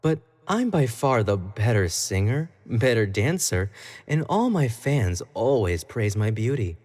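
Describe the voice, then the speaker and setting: A man's voice: mid-range voice, big pitch swings, measured pace, darkish tone. Man playing a swooping, coy, obsessive idol boy. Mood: jealous, possessive. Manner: playful, sing-song, coy, doting. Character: obsessive, clingy young male K-pop idol kidnapper. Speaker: voice actor performing a scripted audio roleplay. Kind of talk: scripted roleplay monologue to the listener.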